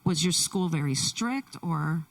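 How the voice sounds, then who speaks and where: A woman's voice: low-pitched, lively intonation, vocal fry. Woman pastor heard from a distance. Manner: speaks in short, deliberate phrases. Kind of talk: introducing and interviewing a guest at a church service. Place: church sanctuary.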